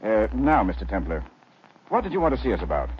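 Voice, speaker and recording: Polite slippery guardian type, hesitant, lively tone, mid pitch, muffled old radio. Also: male voice, fast. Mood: polite, evasive. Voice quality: genteel, hesitant, evasive. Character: polite, evasive wealthy guardian, male, middle-aged. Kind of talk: 1940s radio acting. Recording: dull old radio recording, faint hiss.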